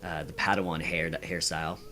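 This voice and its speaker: A man's voice: low voice, level tone, fairly quick, pushed and bright, faint music behind. A man answering quiz questions casually as a podcast guest, thinking aloud as he goes.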